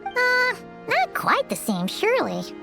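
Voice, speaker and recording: Squeaky, very high-pitched fairy girl. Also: huge pitch swings, slow pace, vocal fry, music in the background, full character voice. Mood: teasing. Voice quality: squeaky, childlike. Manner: perky, exclamatory, refers to self in third person. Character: tiny chirpy childlike floating fairy girl companion. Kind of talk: video game character acting.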